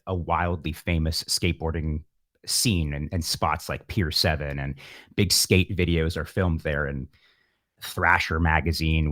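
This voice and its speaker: A man's voice: very deep voice, fairly bright tone, no room sound, studio quality. A man talking casually as an interview guest, musing in a reflective, wordy, rambling way. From San Francisco Bay Area, California. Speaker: guest, musician. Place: home setup, remote call.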